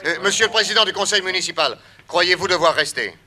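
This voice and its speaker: An actor playing a bold detective who addresses officials formally and with authority in a French radio drama. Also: male voice, sits high, expressive, quick pace, bright, forceful tone, heavily acted. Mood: commanding. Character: bold, commanding male detective.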